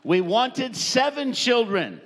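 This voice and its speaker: A middle-aged Canadian-born man preaching in short phrases, joking with the congregation and pausing for an interpreter. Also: extremely high pitch, very animated, fairly bright tone. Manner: jovial, joking, pausing for translation. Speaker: visiting preacher. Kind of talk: sermon with live interpreter. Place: church hall. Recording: church hall reverb.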